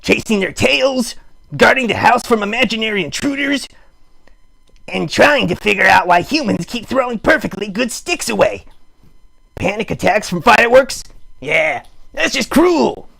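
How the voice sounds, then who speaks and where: A man voicing a silly, excitable puppet schemer in an improvised puppet show, with a raspy, gravelly voice and animated, mock-serious delivery. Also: high-pitched, normal speed, full character voice. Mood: mock indignant.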